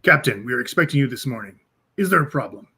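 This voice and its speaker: A man playing a stern, brusque senior admiral in a radio play, with a gravelly voice. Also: medium pitch, expressive, fast talker, pushed and bright, exaggerated voice. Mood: stern, needling.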